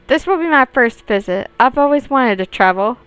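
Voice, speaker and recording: A woman's voice: high voice, lively intonation, moderate pace, a bit bright, clear, resonant tone, no room sound. Woman as a poised, sly young lady swindler. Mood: polite, poised. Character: sly young lady con artist, vain, outwardly polite.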